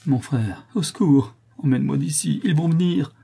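Audiobook role: weak, panicked condemned daughter, heart-rending and fearful, husky tone, read by a man. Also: on the high side, moderate pace, warm tone, voice pushed up. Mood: terrified pleading. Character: terrified condemned young woman, weak, despairing, blaming her mother.

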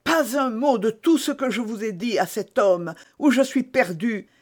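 A man's voice: sits high, takes it slowly, voice pushed up. A man reading aloud and voicing a scheming imprisoned noblewoman whose begging turns to sly, calculating asides. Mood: desperate. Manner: breathless impassioned pleading, swinging to sly asides.

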